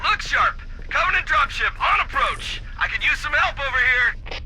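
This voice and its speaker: An actor playing an alert military squad marine in a video game, talking in clipped military jargon. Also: male voice, very high voice, animated, normal speed. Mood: urgent, alarmed. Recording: loud noise behind the voice.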